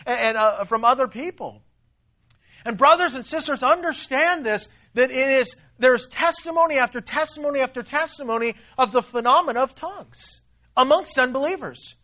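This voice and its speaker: A man preaching with a high, raised voice, pressing his points hard and often repeating words as he builds up. He swings from loud, stressed phrases to quiet, low asides. The recording sounds dull and muffled. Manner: emphatic, repeats himself, rises and drops in volume. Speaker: pastor. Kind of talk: sermon.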